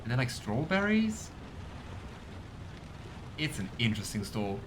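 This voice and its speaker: A man's voice: medium pitch, sing-song, measured pace, noisy background. A young man giving casual, bemused commentary on a video game, full of fillers. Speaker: let's play host.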